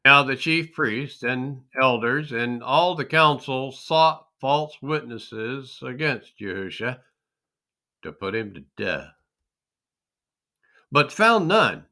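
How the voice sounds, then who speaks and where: A man reads scripture as dramatic narration. His delivery is slow and emphatic, each phrase weighed solemnly. The tone is very bright and hard-edged, and the pitch rises and falls widely. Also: lively intonation. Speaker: scripture reader.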